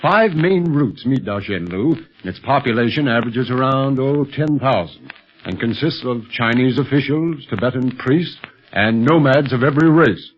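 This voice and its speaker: A confident agent in charge in a 1930s radio adventure. His mid-range voice is authoritative and animated, with brisk delivery, on a dull old recording. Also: mid-range voice, breathy edge. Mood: explaining. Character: confident, steady adult male agent and leader.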